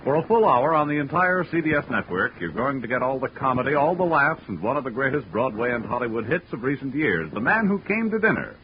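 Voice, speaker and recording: A man's voice: mid-range voice, big pitch swings, fast talker, hardly any pauses. A man working as a radio announcer, delivering promotional copy in 1940s network style. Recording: muffled, noisy 1940s radio recording.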